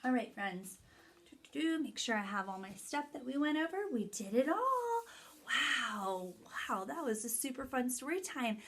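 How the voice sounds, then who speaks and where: A woman's voice: on the high side, fairly bright tone. A woman teacher leading a story time lesson for young children, explaining things step by step in simple phrases with key words stressed. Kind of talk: children's lesson, read-aloud and activity instructions.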